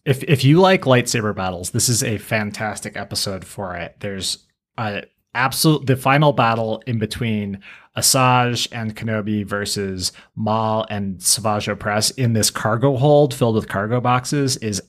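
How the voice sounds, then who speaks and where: Casting: male podcast co-host, fairly low pitch, casual commentary with a wry, exaggerating streak. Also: moderate pace. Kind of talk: casual chat, pop-culture commentary.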